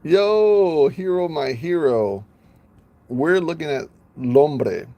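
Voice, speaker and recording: A man's voice: a little high, lively intonation, slow pace, dark tone. A man chatting casually on a live stream, playful, laughing often and stumbling over names.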